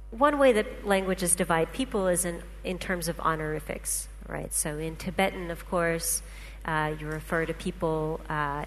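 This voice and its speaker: A woman, a native Russian speaker, explaining patiently with examples in a talk to a panel. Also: fairly low pitch. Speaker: speaker presenting research. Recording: hall reverb, faint hum.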